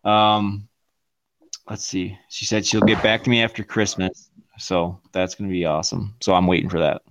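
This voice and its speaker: Lowish, blunt male podcast co-host. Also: flat delivery, moderate pace, no room sound. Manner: blunt, crude, offhand. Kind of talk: crude casual chat.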